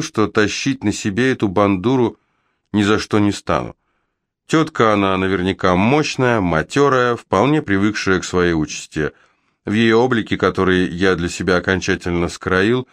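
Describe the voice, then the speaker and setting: A man with a fairly low voice reads a literary story in the first person, recorded dry and close. His delivery is expressive and wry, like an audiobook narrator telling his own tale. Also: no echo. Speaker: audiobook reader.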